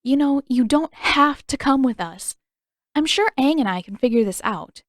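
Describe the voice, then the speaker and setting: Woman doing a bossy teen girl, higher voice, teasing, story reading, dry audio. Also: lively intonation, moderate pace, dry recording. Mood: pointed. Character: bossy, teasing fourteen-year-old girl.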